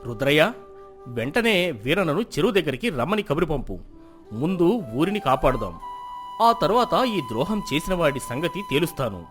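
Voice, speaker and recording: A man playing a stern, just village landlord who judges in a measured, commanding, formal way in a folk tale. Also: fairly high pitch, big pitch swings, a bit bright. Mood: indignant, commanding. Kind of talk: dramatized Telugu folk moral tale. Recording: music behind the voice.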